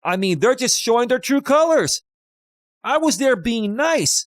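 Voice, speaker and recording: A man of fifty, a life coach, ranting loudly and emphatically on a live stream while reading out chat, close on a studio dynamic mic. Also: very high-pitched, big pitch swings, unhurried, no room sound. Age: 50s.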